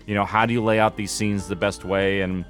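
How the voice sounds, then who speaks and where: A man talking reflectively as an interview guest, halting and thoughtful. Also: lowish voice, little pitch movement, a bit bright, faint music behind. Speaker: podcast guest, audio drama producer.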